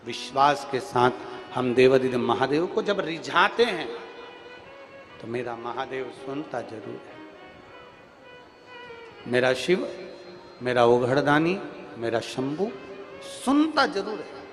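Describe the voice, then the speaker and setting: A man's voice: mid-range voice, expressive, slow, pauses often. A man giving a fervent devotional sermon who repeats his phrases and reads a letter aloud to a large live gathering. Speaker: preacher. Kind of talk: devotional sermon with sung hymn. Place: large outdoor religious gathering. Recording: long reverb from a big venue, with music behind. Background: devotional music.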